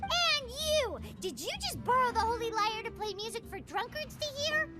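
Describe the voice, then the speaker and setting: A tiny, excitable fairy companion from a video game, speaks in an extremely high, childlike voice. She is cheeky and teasing, and her pitch leaps about. Music plays clearly behind her. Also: moderate pace. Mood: teasing, indignant. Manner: talks about self in third person, teasing.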